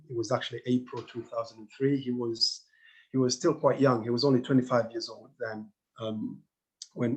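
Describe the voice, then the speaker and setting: A middle-aged man from Tanzania, a panel guest telling his own story earnestly, halting and repeating words, over a video call. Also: medium pitch, rough recording. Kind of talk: personal testimony on a panel.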